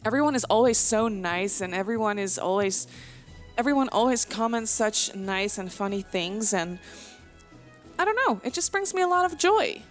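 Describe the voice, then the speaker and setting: A woman's voice: medium pitch, lively intonation, normal speed. A young woman from the Netherlands with a light Dutch accent, Dutch her first language, doing a casual, rambling voiceover with frequent giggles, fillers and restarts. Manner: chatty, giggly, self-deprecating, with many fillers and restarts. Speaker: Sims speed build vlogger. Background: soft background music.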